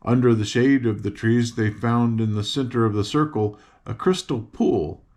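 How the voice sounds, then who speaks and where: An older man reading a children's book aloud in a gentle, slow read-aloud manner. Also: mid-range voice. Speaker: storyteller.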